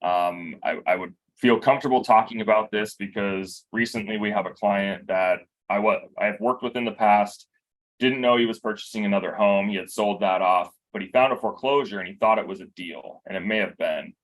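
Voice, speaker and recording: A man from a bank giving a relaxed briefing, explaining loans with examples. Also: fairly low pitch, fairly quick. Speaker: bank loan officer. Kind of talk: informal work briefing.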